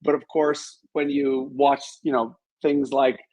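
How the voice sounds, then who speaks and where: A man's voice: medium pitch, little pitch movement, a little slow, darkish tone, dull audio. A man talking as an interview guest, giving his opinions in an analytical, emphatic conversational way.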